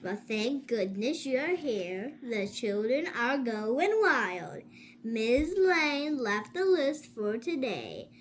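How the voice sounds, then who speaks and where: A woman's voice: a little high, sing-song, takes it slowly, voice pushed up. A woman voicing a flustered, fussy man at a school in a children's storybook, drawing out the words. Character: flustered school man handing over unruly class.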